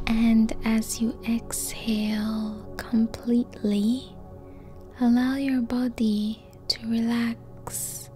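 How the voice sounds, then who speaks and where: A woman guiding a sleep meditation in a soft, breathy, near-whispered voice, calm and gentle, in short phrases with long pauses. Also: a little high. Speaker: yoga nidra meditation guide. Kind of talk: slow guided sleep meditation.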